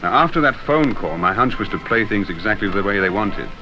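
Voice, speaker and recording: An actor playing a confident, commanding secret agent hero in a 1960s radio drama, brisk and decisive as he explains things. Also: fairly low pitch, animated, close to an everyday voice. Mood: decisive, urgent. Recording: dull 1960s radio recording with music.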